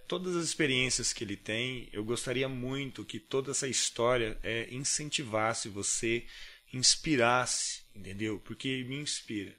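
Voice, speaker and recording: A Brazilian man with a Brazilian accent hosting a podcast. His voice is bright and crisp, with a mid-range pitch. He speaks politely and offers brief agreement along the way. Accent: Brazilian Portuguese. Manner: brief affirmations, polite outro. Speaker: podcast host.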